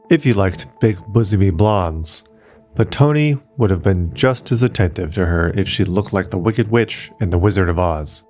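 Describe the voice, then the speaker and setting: A man narrating a short science fiction story in a steady, measured way. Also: fairly low pitch. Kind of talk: scripted audiobook narration.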